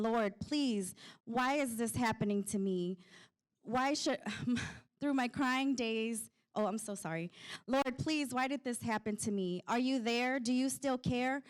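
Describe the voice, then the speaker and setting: A woman performing spoken word poetry with impassioned, dramatic, rhyming rhythm. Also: a little high. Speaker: poet.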